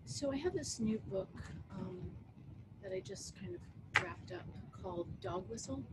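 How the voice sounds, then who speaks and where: Middle aged american lady reading her poems, deliberate phrasing, really noisy webcam audio. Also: medium pitch, lively intonation. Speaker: poet. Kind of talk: poetry reading with casual introductions. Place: book-lined wood-panelled room at home, indoors. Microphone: computer mic on video call. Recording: very noisy video-call audio.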